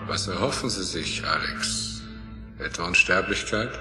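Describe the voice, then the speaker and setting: A commanding middle-aged scientist obsessed with his work in a science fiction audio drama. The voice is low, deliberate and intense, with a grandiose sweep. Music plays clearly behind it. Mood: controlled. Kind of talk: dramatic audio play acting.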